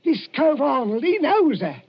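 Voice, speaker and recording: Very high-pitched nervous Cockney weakling. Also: male voice, sing-song, measured pace, slight background noise, full character voice. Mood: anxious pleading. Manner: nervous, pleading. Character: timid, anxious, pleading male Cockney reluctant accomplice. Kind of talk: 1940s radio thriller acting. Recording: muffled vintage radio recording, music behind.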